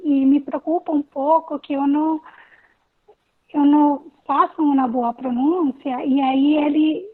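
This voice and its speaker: A woman whose first language is Spanish, speaking Portuguese with a Spanish accent, asking a hesitant question on a video call. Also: high voice. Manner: hesitant, self-correcting. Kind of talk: online Q&A question. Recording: tinny phone-like audio.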